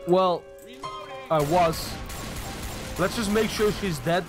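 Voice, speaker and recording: A young man playing a co-op horror game with a friend, excitable and jokey, giggling often. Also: high-pitched, takes it slowly, over music. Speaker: gamer. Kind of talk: co-op gameplay banter.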